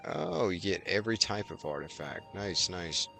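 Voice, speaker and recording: A man's voice: deep voice, sing-song, normal speed, creaky. A man giving casual live game commentary as a streamer, musing aloud and answering his chat. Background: game music.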